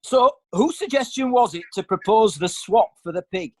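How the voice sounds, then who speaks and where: A performer playing a formal, measured advocate questioning witnesses in a period courtroom radio play. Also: medium pitch, lively intonation, no echo, natural voice.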